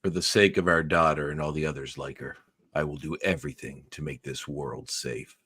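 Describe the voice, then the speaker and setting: Man acting a very deep, embittered mutant leader. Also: steady tone, brisk, pushed and bright, slightly rough, no room sound, clean studio sound, exaggerated voice. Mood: solemn resolve. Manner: solemn, declamatory. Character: grave, embittered, resolute male mutant leader. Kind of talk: live-read radio theatre acting.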